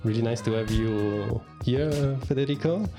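A man's voice: medium pitch, normal speed, music in the background. A man hosting a casual interview podcast, asking friendly questions with some fillers. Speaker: podcast host.

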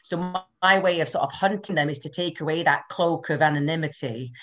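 A middle-aged woman from the Scottish Highlands with a Scottish accent, talking as a guest in a casual interview, chatty and self-deprecating with many fillers. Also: brisk. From Scottish Highlands and Islands. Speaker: guest, abuse awareness advocate.

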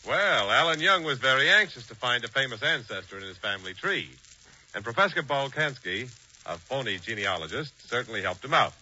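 A man's voice: mid-range voice, animated, a little fast. A man announcing in a polished, emphatic 1940s radio style. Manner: polished, emphatic sales delivery. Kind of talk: 1940s radio advertising and scripted narration. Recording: dull, faintly noisy 1940s radio recording.

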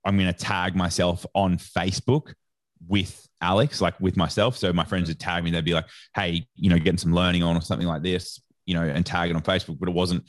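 A man's voice: low voice, little pitch movement, fairly quick, dry recording, studio quality. A man with an Australian accent telling a story casually as an interview guest, in slangy, informal speech full of reported dialogue. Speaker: interview guest, sports nutrition educator.

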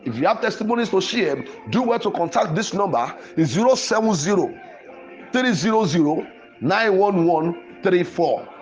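A man preaching fervently in a Pentecostal prayer style, with forceful, repetitive declarations. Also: high voice, animated, moderate pace, soft background music, rough recording. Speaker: preacher leading a prayer podcast.

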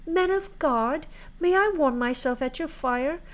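A woman playing a meek, high-voiced pleading girl. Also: expressive, a little slow. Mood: sad pleading. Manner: earnest, polite, archaic pleading. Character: meek, pleading orphan girl sent into winter mountains.

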